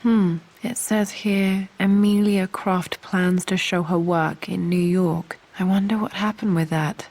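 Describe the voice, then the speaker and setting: An actress playing a wistful young woman exploring her family's old manor in a video game, musing to herself in a hushed English accent. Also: lowish voice, steady tone, a little slow, hardly any pauses, a little hiss or hum. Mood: wistful, curious. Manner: hushed, reflective.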